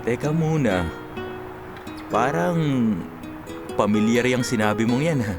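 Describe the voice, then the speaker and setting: A man's voice: medium pitch, lively intonation, a little slow. A man acts out a Filipino radio drama leading man in his twenties. He is an earnest, playful, smitten young man with a mid-range voice, heard over background music. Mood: earnest, curious. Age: 20s. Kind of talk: Tagalog radio drama acting. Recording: music behind the dialogue.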